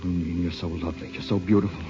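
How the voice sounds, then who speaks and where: A man's voice: deep voice, flat delivery, fast, warm tone, natural voice. A man acting a brooding, jealous rejected suitor in an old-time radio drama, theatrical and intense. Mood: desperate, impassioned. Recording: muffled old radio recording, music behind.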